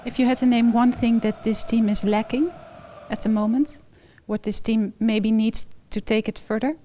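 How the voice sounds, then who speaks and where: A woman's voice: fairly high pitch, fairly even intonation, moderate pace, mellow, slight background noise. A woman interviewing a football player, asking short, direct questions.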